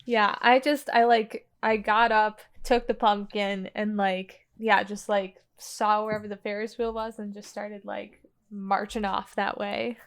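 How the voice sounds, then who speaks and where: A woman's voice: mid-range voice, level tone, a little slow, clear, resonant tone. A woman casually describing her actions as a player in a tabletop game.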